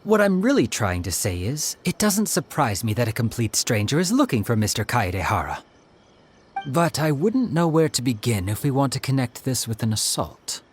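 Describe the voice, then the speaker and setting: An actor playing a polite, talkative detective in a video game, with a formal, explanatory, expressive delivery. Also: male voice, medium pitch, normal speed, slight background noise, natural voice. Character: polite, talkative male detective, earnest and businesslike.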